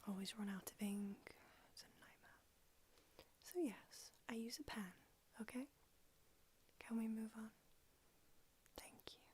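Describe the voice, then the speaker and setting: A woman's voice: on the high side, steady tone, a little slow, long pauses, a little mellow, a little hiss or hum, natural voice. A woman whispering in an ASMR roleplay, voicing a brusque, haughty old female vampire doctor with a dry manner. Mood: whispery, brusque.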